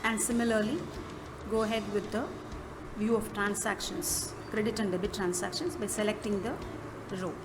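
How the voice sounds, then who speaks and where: A woman presents a software walkthrough in an instructional manner, with halting phrasing. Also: mid-range voice, little pitch movement, background noise. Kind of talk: step-by-step app walkthrough narration. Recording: music behind the voice. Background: clearly audible music.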